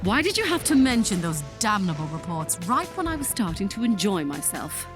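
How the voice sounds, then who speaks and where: A haughty female general voiced by an actress in a sci-fi radio serial. She is irritable and commanding, with a mid-pitched, very animated delivery over music. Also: fairly quick, natural voice. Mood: irritated. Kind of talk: sci-fi audio drama acting.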